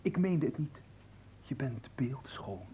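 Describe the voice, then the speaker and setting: An actor in a Dutch radio play voicing a cheeky, flirtatious manservant, theatrical and coaxing, often dropping into hushed pleading. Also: male voice, mid-range voice, animated, slow pace, a little hiss or hum. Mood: wooing. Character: cheeky, flirtatious manservant, smooth-talking and playfully servile.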